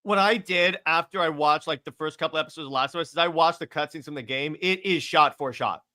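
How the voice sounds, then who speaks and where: A man with a high, forceful voice in a casual discussion show. He speaks as an opinionated co-host and leans hard on his points, often saying them twice. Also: brisk. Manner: emphatic, opinionated, repeats himself. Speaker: podcast co-host. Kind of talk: casual pop culture discussion.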